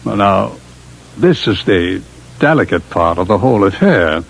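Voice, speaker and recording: Suave older consul, husky, swooping pitch. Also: male voice, expressive, unhurried, heavily acted. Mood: smooth, reassuring. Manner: suave, courteous, hesitant, formal. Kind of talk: 1950s radio drama acting. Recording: muffled vintage radio broadcast.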